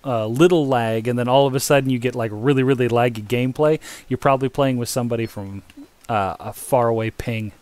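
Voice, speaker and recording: A man's voice: mid-range voice, steady tone, brisk, a little mellow. An American man chatting casually with a friend while playing an online game, rambling with lots of fillers. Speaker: gamer streaming with a friend.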